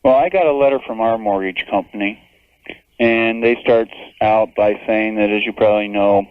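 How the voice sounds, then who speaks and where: A man's voice: fairly low pitch, moderate pace, clear, resonant tone, dry recording. A man phoning a radio show as a listener, talking casually over the telephone. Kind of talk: casual phone call. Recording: narrow muffled phone line on radio.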